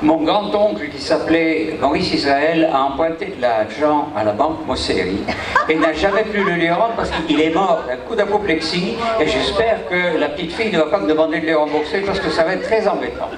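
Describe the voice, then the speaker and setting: A man's voice: fairly high pitch, animated, a little fast, over a noisy crowd. An older man from Egypt, speaking informally to an audience with wry, self-mocking humor. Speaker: congress participant introducing himself. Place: large echoey hall. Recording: echoey hall audio from far off. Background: audience murmur.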